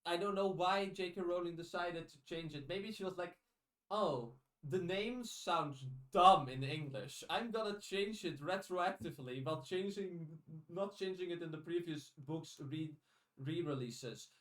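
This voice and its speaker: A man's voice: high voice, unhurried, a bit bright. A man with a Dutch accent chatting casually as a podcast host, often restarting his sentences in a rambling way. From Netherlands. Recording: echoey room, distant from the mic.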